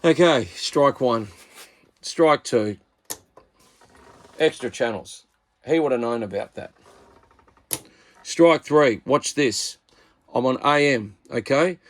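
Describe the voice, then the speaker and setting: A man's voice: medium pitch, slow, pauses often. A middle-aged man with an Australian accent, talking casually and bluntly at his workbench about radios he bought. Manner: blunt, exasperated, plain-spoken. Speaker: radio hobbyist channel host.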